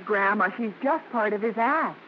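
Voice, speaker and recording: A woman's voice: mid-range voice, expressive, moderate pace, slight background noise, natural voice. An actress playing a hesitant, devoted young mother and former stage performer, in old-time radio drama style. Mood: flustered, protesting. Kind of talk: 1940s-50s radio acting. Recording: muffled old radio recording with music.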